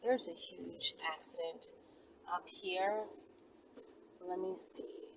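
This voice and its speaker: A woman's voice: on the low side, fairly even intonation, slow, gravelly, background noise. A woman talking casually in a rambling, conversational solo vlog, thinking aloud with emphatic phrasing. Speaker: vlogger. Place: inside a vehicle in traffic.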